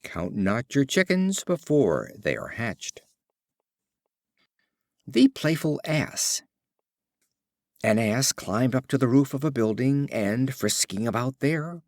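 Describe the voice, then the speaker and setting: A male audiobook narrator reads short fables in a measured, storytelling way. His intonation rises and falls widely, with a mid-range pitch. The sound is dry, with very little room around it. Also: no room sound. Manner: measured, expressive storytelling delivery.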